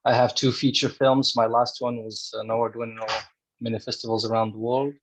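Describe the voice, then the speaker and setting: A man's voice: medium pitch, level tone, fairly quick, low-quality recording. A man from Morocco, a screenwriter and director, introducing himself on a video call. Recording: faint noise on video call.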